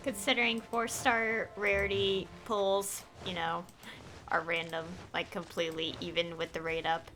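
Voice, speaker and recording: A young woman doing casual livestream game commentary, excitable and rambling, often correcting herself. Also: lowish voice, a little slow. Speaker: game streamer. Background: faint game music.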